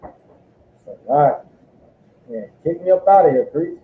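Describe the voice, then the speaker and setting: A man's voice: a little high, lively intonation, takes it slowly, slight background noise. A man assisting a preacher as scripture reader, reading verses aloud and answering him. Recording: tinny phone-like line with roomy echo.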